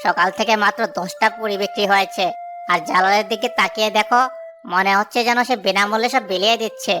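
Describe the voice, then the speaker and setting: A woman's voice: mid-range voice, steady tone, quick pace, music in the background, natural voice. A woman voicing a village samosa seller in a cartoon story. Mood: jealous, bitter. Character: village samosa sellers, one humble, one jealous.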